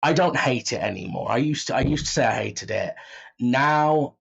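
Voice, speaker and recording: A man's voice: medium pitch, expressive, moderate pace, creaky, breathy edge. A man in a casual interview, self-deprecating and prone to acting out his own thoughts. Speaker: audio drama writer and creator, interview guest. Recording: slightly dull, muffled sound.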